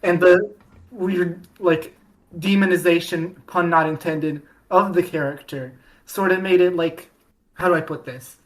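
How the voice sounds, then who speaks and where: Rambling, lowish woman in casual chat. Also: steady tone, a little slow, rough recording. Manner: rambling, many restarts and fillers. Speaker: podcast guest.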